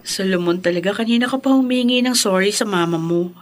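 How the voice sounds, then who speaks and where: A woman's voice: mid-range voice, a little fast, natural voice. A woman playing a polite, cheerful young girlfriend in a radio drama, affectionate and encouraging, with a Filipino accent. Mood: admiring, earnest.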